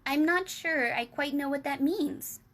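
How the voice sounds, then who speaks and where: A woman reading aloud and voicing Sophie, a curious, earnest young girl with a high, eager voice. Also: measured pace. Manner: eager, questioning, high and bright.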